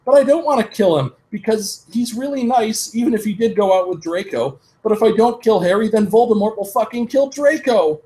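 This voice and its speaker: A man doing a shrill melodramatic goth girl. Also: expressive, brisk, hardly any pauses, mellow, rough recording. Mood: distraught. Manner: shrill, overwrought, whiny.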